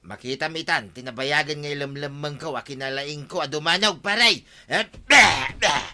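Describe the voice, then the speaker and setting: Cocky, blustering watchman hopeful, Ilocano accent, very sharp and highish, broad radio comedy. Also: normal speed. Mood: blustering effort. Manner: boastful, blustering. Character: boastful, clumsy male would-be village watchman.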